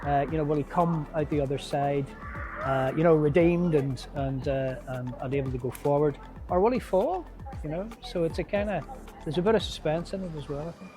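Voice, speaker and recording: A man with a Northern Irish accent speaking haltingly in an informal promotional interview about theatre. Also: on the high side, music in the background. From Northern Ireland. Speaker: theatre company member promoting a show.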